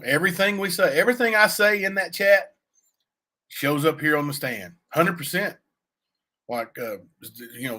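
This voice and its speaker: A man with a raspy voice, chatting loosely on a livestream and answering viewers, full of fillers and asides. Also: mid-range voice, sharp tone. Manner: rambling, many fillers and asides to chat. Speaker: livestream cohost; youth basketball coach. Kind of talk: casual livestream chat.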